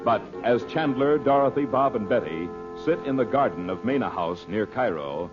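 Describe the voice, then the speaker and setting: A man's voice: medium pitch, animated, normal speed. A man announcing and narrating in a formal, declamatory vintage radio style, with polished, scripted delivery. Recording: muffled old radio broadcast recording. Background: music.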